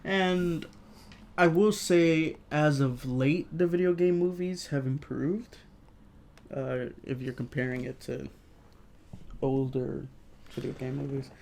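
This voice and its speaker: A male co-host with plenty of vocal fry gives his opinions in a casual chat. He comes across laid-back and agreeable, talking in a loose, conversational way. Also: medium pitch, fairly even intonation, slow, pauses often, a little mellow, a little hiss or hum. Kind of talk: casual chat, film review.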